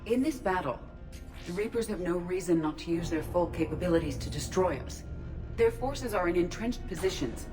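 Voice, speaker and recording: A woman playing an earnest, logical, curious artificial intelligence in a video game, speaking in formal, measured, precise phrases. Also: lowish voice, lively intonation, lightly acted. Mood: earnest, resolute. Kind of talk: scripted video game voice acting. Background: music.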